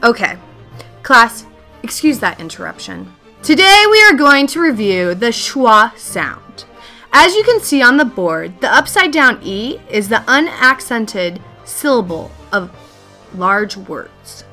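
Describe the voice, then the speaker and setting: A woman's voice: fairly high pitch, sing-song, slow pace, faint music behind, full character voice. A woman playing a strict, impatient, mocking schoolteacher in a family radio play, with a haughty, scolding delivery. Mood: brisk, dismissive. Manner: theatrical scolding, haughty and sharp.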